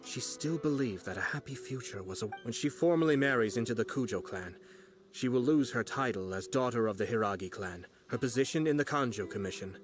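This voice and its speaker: An actor playing a composed young retainer and strategist in a video game, speaking in a measured, earnest and reasoned way. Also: male voice, medium pitch, soft background music, lightly acted. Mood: calm.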